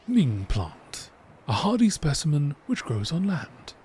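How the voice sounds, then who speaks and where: A man reading encyclopedia entries aloud in a measured, informative nature documentary style. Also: on the high side, wildly swooping pitch, a little mellow, slight background noise.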